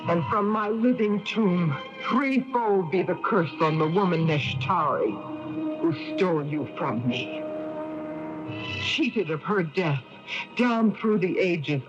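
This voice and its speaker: A woman's voice: low voice, takes it slowly, music in the background. An actress playing a scheming, vengeful Egyptian queen in an imperious, theatrical manner in an old radio drama. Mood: vengeful curse. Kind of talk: 1980s radio drama acting. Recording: dull lo-fi old radio broadcast.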